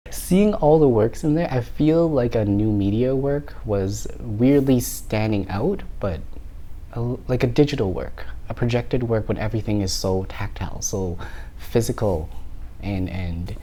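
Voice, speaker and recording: A man's voice: medium pitch, a little slow, warm tone, slight background noise. A man in his thirties from Canada, an artist talking through his work in an interview, thoughtful and often restarting his words. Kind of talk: reflective interview talk.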